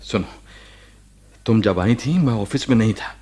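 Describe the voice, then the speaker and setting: A husky male voice plays a sick former boss in a radio play. His pitch moves a great deal as he defends himself. He is halting, earnest and self-justifying. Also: lively intonation, warm tone. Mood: earnest, explaining. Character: ailing married former boss, defensive and pleading. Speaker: radio drama actor. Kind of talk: Hindi radio play acting.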